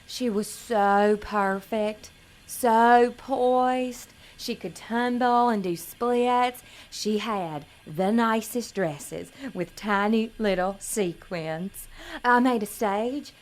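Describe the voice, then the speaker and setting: A woman's voice: mid-range voice, very slow. A woman playing a naive, earnest young pageant contestant in an audio drama, prim and melodramatic in manner. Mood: wistful.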